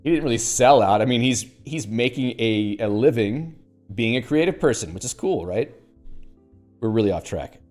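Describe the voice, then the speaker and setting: A man's voice: medium pitch, animated, normal speed, darkish tone, soft background music. A man hosting a casual livestream, chatting in a rambling way with fillers and restarts and reading out viewer comments. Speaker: livestream host.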